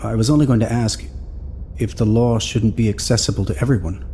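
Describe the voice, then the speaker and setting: A man's voice: fairly low pitch, a little fast, dark tone, a little hiss or hum, natural voice. An actor playing a patient, persistent man from the country in an audio drama, pleading politely and hesitantly. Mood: polite, insistent.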